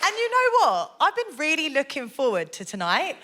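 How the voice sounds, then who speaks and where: A woman's voice: high-pitched, huge pitch swings, moderate pace, a crowd in the background, noisy background. A woman hosting a live comedy show on stage, cracking puns in playful banter with her co-host. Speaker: radio breakfast show host. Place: theatre stage with a live audience.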